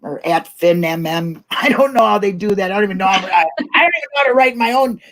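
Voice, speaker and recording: A woman's voice: mid-range voice, fast talker. A middle-aged woman hosting a casual live online discussion, chatting in a colloquial, rambling way. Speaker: host of an online talk show.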